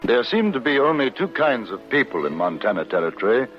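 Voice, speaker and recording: An actor playing a thoughtful, observant frontier gentleman narrating his western travels in 1950s radio drama style. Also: mid-range voice, very animated, brisk, natural voice. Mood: reflective. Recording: dull vintage radio recording, music behind.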